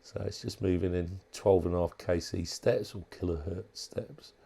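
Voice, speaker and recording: Male hobbyist demonstrator, lowish gravelly voice, informal and unscripted, feels his way through sentences. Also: fairly even intonation, dark tone. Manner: halting, thinking aloud. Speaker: hobbyist presenter demonstrating a modified radio. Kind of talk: casual unscripted product walkthrough.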